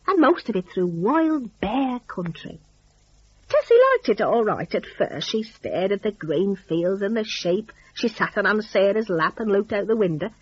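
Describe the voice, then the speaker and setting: A woman's voice: mid-range voice, breathy edge, dry recording, dull audio. A woman telling a story dramatically, in a folksy, conversational rustic idiom.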